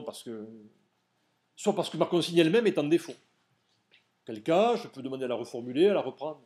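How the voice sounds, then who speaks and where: A man's voice: a little high, a little mellow. A man giving a seminar lecture, explaining step by step and putting questions to his audience. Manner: didactic, addresses audience directly with questions.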